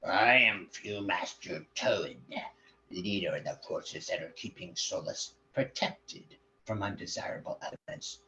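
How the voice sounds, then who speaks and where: A man voicing a fat, sneering male hobgoblin commander, speaking slowly and pompously with a thick accent. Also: low-pitched, lively intonation, pushed and bright, pitched below the performer's own voice, full character voice. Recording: dull lo-fi recording.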